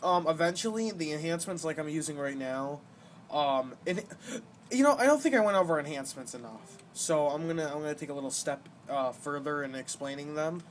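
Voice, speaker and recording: A young man giving casual gameplay commentary, explaining things in a halting, rambling way as the host of a gaming video. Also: fairly high pitch, animated. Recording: noisy amateur home recording.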